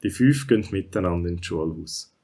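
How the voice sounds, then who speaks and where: Low-voiced Swiss German male storyteller. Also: lively intonation, normal speed, a little mellow. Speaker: narrator. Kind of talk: scripted children's audio story narration.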